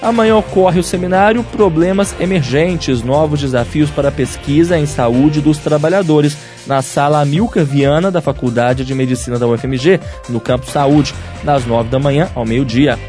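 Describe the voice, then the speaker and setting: A man presenting a radio news bulletin, reading a script clearly and steadily. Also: on the high side, moderate pace, hardly any pauses, darkish tone, faint music behind.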